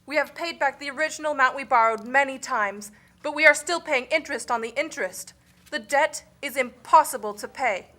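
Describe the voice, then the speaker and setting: A woman acting as a troubled spokesperson for a poor country in a puppet show. The voice is high and bright, reciting earnestly. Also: brisk. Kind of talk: scripted educational puppet show.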